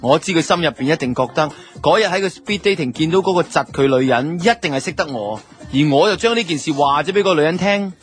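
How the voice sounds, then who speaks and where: A man's voice: a little high, animated, fast talker, hardly any pauses, lightly acted. An actor with a Hong Kong Cantonese accent playing a wry, aggrieved office worker, telling his story in colloquial radio drama narration. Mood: wry, exasperated. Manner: wry, grumbling, conversational. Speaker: narrator and lead character of a radio drama series. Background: background music.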